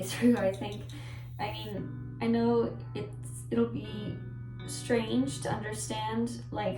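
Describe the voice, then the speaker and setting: A woman whose first language is Polish, casually talking about a book and rambling with lots of self-corrections. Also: medium pitch. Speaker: book vlogger. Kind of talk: casual book talk to camera. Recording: echoey room with mic at a distance and music behind.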